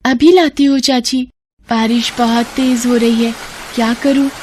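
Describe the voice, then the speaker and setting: A small, timid orphan girl, played by a woman speaks high and plaintively in a moral tale. She pleads meekly, with clear noise behind her. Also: level tone, unhurried, a little mellow, close to an everyday voice. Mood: meek, worried. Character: timid, pleading eight-year-old orphan girl, meek and hungry.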